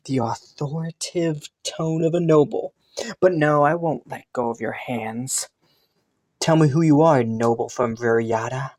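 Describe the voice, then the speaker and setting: A man's voice: mid-range voice, measured pace, a little mellow, natural voice. A man playing a haughty, teasing young crown prince in a romantic fantasy audio roleplay, suspicious yet intrigued, with a smug manner. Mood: suspicious, amused. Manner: teasing, smug, chuckling and sighing between phrases.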